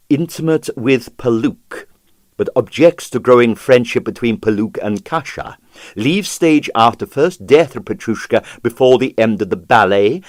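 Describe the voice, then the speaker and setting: Theatrical male storyteller, very varied pitch. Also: no room sound, clean studio sound. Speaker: audiobook narrator. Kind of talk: dramatized reading of a comic detective novel.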